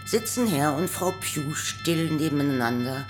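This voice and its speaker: A woman's voice: low voice, animated, measured pace, over music. A woman narrating a poetic radio play in a scripted literary style.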